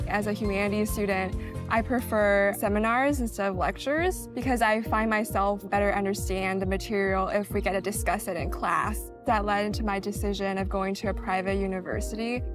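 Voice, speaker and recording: A woman from China, a humanities student, speaking calmly and in measured phrases in a scripted promo testimonial. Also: mid-range voice. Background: background music.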